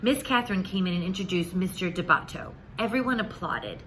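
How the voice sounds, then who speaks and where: Bright-voiced woman reading a children's story. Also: fairly low pitch, fairly quick, a little hiss or hum, low-quality recording. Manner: animated, acts out the dialogue. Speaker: teacher reading a picture book aloud. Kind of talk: expressive children's story read-aloud.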